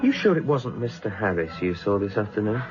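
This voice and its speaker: An actor playing a worldly, dogged British police detective in a radio drama, asking terse, blunt questions with dry humour. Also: male voice, lowish voice, sing-song, brisk, muffled recording, over music. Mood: pressing, terse.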